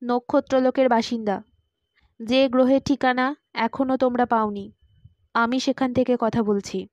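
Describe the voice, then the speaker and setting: A woman voicing a calm alien robot envoy in a measured, matter-of-fact way for an audiobook. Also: on the high side, no echo, lo-fi sound, natural voice. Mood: solemn summons.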